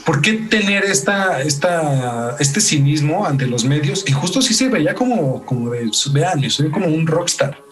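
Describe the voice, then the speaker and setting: A man's voice: on the high side, sing-song, unhurried, distant mic, faint music behind. A man with a Mexican accent hosting a true crime podcast, moving between telling the case and casual talk. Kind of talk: true crime narration mixed with casual chat.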